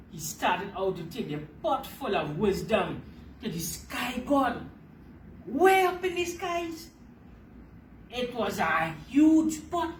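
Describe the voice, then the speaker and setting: A woman tells a children's story in a high, swooping voice. She is emphatic and takes her time, repeating phrases for her listeners. The recording is roomy and a little distant, with faint noise behind. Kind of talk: animated children's storybook reading.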